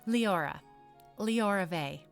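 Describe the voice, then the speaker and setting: A woman's voice: mid-range voice, takes it slowly, faint music behind, voice pushed up. A woman voicing a nervous, earnest teenage girl newcomer, a little defensive, in a fantasy story.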